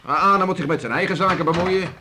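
An actor playing a hearty, gruff farmer and beachcomber father in a Dutch radio drama, talking in a bluff, teasing way. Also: high-pitched, fast talker, noisy background, close to an everyday voice. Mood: indignant. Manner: bluff, teasing, chuckling, colloquial.

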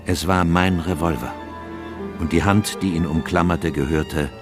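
Earnest gentleman storyteller, low voice, measured radio play narration over music. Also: fairly even intonation. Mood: tense, measured. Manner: measured, literary, suspenseful. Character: earnest Victorian gentleman doctor recounting a dark mystery. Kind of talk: scripted first-person radio play narration.

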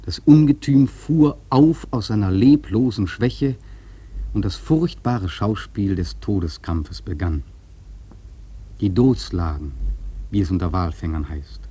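A man playing a whaler who solemnly recounts the hunt, in dramatic literary radio play narration with a measured manner. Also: lowish voice, dark tone, breathy edge. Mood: grave, dramatic.

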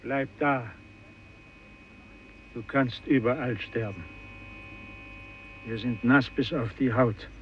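A man acting a stern, practical fisherman who takes command in a 1950s radio play, firm and measured. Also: medium pitch, lightly acted. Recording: muffled, noisy old radio recording.

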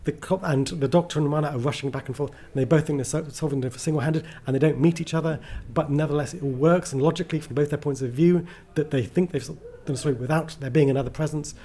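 A man's voice: a little high, steady tone, fast talker, hardly any pauses, dark tone. A man chatting casually as an interview guest, a writer who is enthusiastic, rambling and self-deprecating.

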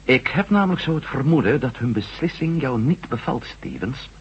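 Matt Meldon, a probing reporter. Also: male voice, mid-range voice, animated, normal speed, slightly rough. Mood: urgent. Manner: probing, insistent. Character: Matt Meldon, shrewd former astronaut reporter. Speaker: lead character. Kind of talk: 1970s radio drama acting. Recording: dull lo-fi radio recording.

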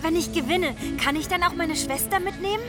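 A performer playing an eager young newspaper girl, pleading excitedly in a German radio drama. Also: extremely high pitch, a little fast, loud music behind the voice. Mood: eager, hopeful.

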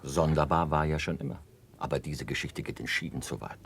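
An actor in a 1960s German radio drama playing a disapproving upper-class card player. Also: male voice, rumbling low, brisk, creaky, a bit bright, faint music behind, heavily acted.

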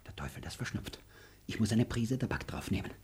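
A man's voice: fairly low pitch, lively intonation, a little fast, darkish tone, whispered, lightly acted. An actor playing a boastful, stingy male bailiff who mocks a maid and mutters asides in a radio play. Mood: smug. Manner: smug, mocking, muttering asides.

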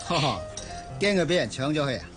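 A male actor playing the groom's smooth-talking old friend in a Cantonese radio drama, teasing and insinuating in a Hong Kong accent. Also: on the high side, expressive, fast, natural voice. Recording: music behind the voice.

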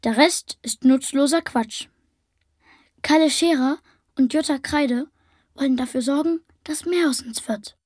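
A woman's voice: high voice, darkish tone, no room sound, studio quality. A female performer playing an earnest, defiant young idealist, reciting a manifesto in a declarative, matter-of-fact way in a radio play.

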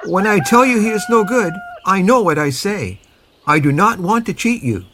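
A man's voice: sits high, animated, a little slow, pushed and bright, a little hiss or hum, exaggerated voice. A man playing a blunt but cordial English cattle breeder in a comic folk tale, speaking in a hearty, emphatic way. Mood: firm, blunt.

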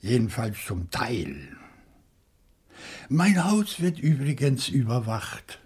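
A husky male voice of medium pitch in a radio crime play. He is a grave newcomer under suspicion, probing politely and slowly, weighing his words with hesitant pauses. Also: big pitch swings, a little mellow, full character voice. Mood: grave, insistent. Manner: deliberate, hesitant, formally polite. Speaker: radio drama actor.

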